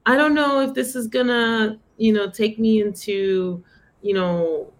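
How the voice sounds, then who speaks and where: A woman's voice: a little high, a little slow. A woman from the Bronx with a New York accent, a podcast guest telling stories in a casual interview and voicing her past thoughts aloud. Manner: animated storytelling, quoting her own thoughts aloud.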